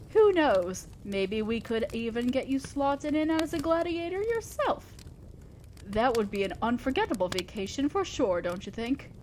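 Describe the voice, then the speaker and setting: A refined female demon lord, voiced by a woman, playful and theatrical in an intimate audio roleplay. Her delivery is leisurely and swoops widely as she indulgently teases her mortal servant. There is noticeable noise behind the voice. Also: on the high side. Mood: musing, relishing. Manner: playful, genteel, theatrical, drawn-out musing. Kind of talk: scripted audio roleplay, addressing the listener.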